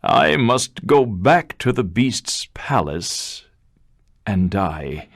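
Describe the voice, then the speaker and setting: A man voicing a sad, frightened father in a storybook reading, gentle and sorrowful. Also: on the low side, big pitch swings, drawn-out words, gravelly, dry recording, clean studio sound, heavily acted. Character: sad, fearful old father.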